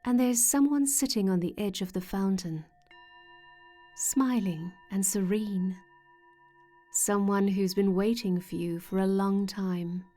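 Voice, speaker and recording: Woman, guided meditation, mellow tone, very slow delivery, gentle, soothing, measured pauses. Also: medium pitch. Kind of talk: guided meditation narration, scripted. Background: soft meditation music with singing-bowl tones.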